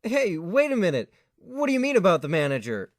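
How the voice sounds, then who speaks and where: A man's voice: high voice, huge pitch swings, fairly quick, full character voice. A man playing an eager, awkward young student in a fan audio drama. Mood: flustered, eager.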